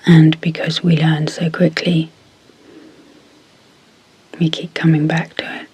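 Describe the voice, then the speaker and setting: A woman speaking in a soft, breathy whisper as an ASMR sleep hypnosis guide, deliberately and in short phrases with pauses. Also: low-pitched, little pitch movement, low-quality recording. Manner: slow, deliberate, pausing between short phrases.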